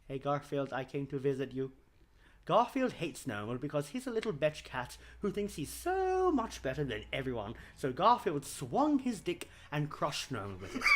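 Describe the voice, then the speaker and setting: A man's voice: a little high, a bit bright. A man narrating a comedic story reading in a theatrical, mock-dramatic manner. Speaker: fan fiction reader.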